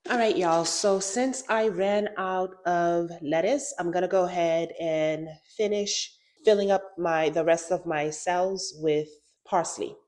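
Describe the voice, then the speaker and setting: A woman's voice: fairly low pitch, fairly even intonation, measured pace, a little mellow. A woman with a stuffy nose from a cold, chatting casually through a gardening how-to vlog and saying "y'all" often. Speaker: gardening vlogger.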